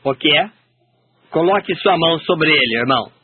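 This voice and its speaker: A man's voice: a little high, lively intonation, normal speed, crowd noise. A man steadily reading a translated sermon aloud. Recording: tinny phone-like sound, faint hiss.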